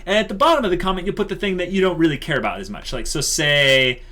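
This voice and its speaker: A man's voice: fairly high pitch, expressive, fast, sharp tone. A man co-hosting an online channel, explaining things informally in casual chat to viewers.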